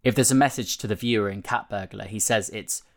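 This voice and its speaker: Low-voiced man narrating a feature. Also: brisk. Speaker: narrator reading a newspaper feature. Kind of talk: scripted narration of a print interview, with quotes read out.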